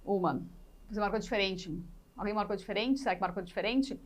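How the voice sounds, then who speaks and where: A woman's voice: medium pitch, a little fast. A woman teaching an exam-prep class step by step, repeating key points and putting questions to learners. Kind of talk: live lesson explaining exercises step by step.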